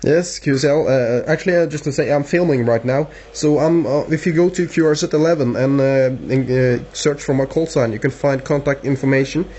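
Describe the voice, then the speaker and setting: A man with a Norwegian accent chatting casually as a radio operator, halting and repeating words. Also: medium pitch, mellow. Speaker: amateur radio operator filming the contact. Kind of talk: casual two-way radio chat. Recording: dull lo-fi camera sound, faint hiss.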